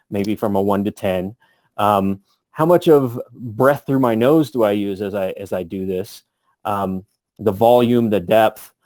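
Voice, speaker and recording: A man's voice: fairly low pitch, darkish tone, dry recording. A man giving a casual instructional talk as a tutor, thinking aloud as he explains. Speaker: voice tutor for tabletop gamers.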